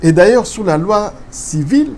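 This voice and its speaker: A man's voice: high voice, lively intonation, normal speed. Casting: male political guest, lecturing tone, code-switching, faint noise behind. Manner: switches between French and Lingala, explains step by step, asks rhetorical questions. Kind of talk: explaining law in an interview.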